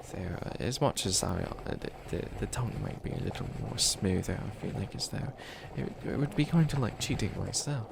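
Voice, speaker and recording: Man playing a gravelly, bumbling wannabe villain witch. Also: lowish voice, lively intonation, moderate pace, hardly any pauses, background noise. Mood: defensive, rambling. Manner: stammering, rambling, easily flustered. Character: bumbling young male witch, wannabe villain, flustered and defensive. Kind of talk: comedic ASMR roleplay, talking to the listener.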